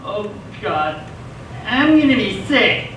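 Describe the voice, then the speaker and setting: Heard in a very echoey hall, a man plays a bumbling bee-costumed superhero with a high, bright voice. He is an earnest novice, pompous and easily flustered, in comic radio theatre style. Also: sing-song, slow pace, dull audio, full character voice. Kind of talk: stage radio theatre comedy acting. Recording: echoey hall, distant mic, faint noise.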